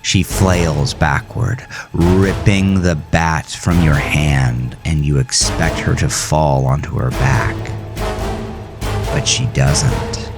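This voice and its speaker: A man's voice: very deep voice, steady tone, slow. A man reading his own horror story as a dramatic, intense scripted narration. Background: music under the voice.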